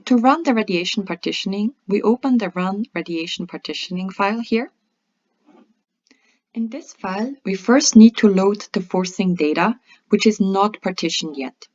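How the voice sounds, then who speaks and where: A woman presenting a scripted software tutorial in a methodical, step-by-step instructional way. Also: medium pitch, normal speed. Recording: dull lo-fi voice-over.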